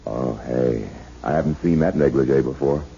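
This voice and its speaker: An actor playing an affectionate, easygoing newlywed husband, soothing and flirtatious, in a 1970s radio drama. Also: male voice, very deep voice, normal speed, vocal fry, mellow, breathy edge, exaggerated voice. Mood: affectionate, flirty. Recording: dull 1970s radio broadcast.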